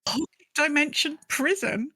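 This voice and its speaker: A woman's voice: high voice, normal speed, pushed and bright, no echo. A woman hosting a gaming podcast in casual, conversational chat. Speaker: podcast host.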